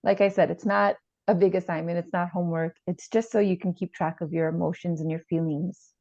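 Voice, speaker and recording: A woman playing a calm, supportive family therapist, speaking in a reassuring, slightly hesitant way in a role-played session. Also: fairly low pitch, brisk, lightly acted. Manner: reassuring, hesitant, repeats gently. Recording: echoey room, slightly dull sound.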